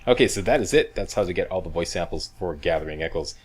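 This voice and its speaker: A man's voice: low-pitched, lively intonation, fast talker, husky. A man doing casual, chatty video game commentary, guiding viewers step by step as a walkthrough host.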